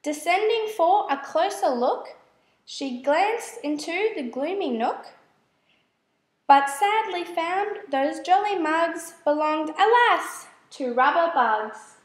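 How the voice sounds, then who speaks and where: A woman reading children's verse aloud as a storyteller, in a measured, expressive way. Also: very high voice, roomy sound. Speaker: storybook reader. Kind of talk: scripted children's verse narration.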